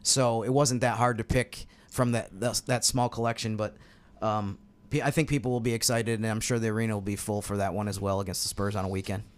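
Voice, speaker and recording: A man's voice: fairly low pitch, little pitch movement, a little fast. An American man speaking as a sports podcast analyst in a casual, conversational style. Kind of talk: casual basketball talk.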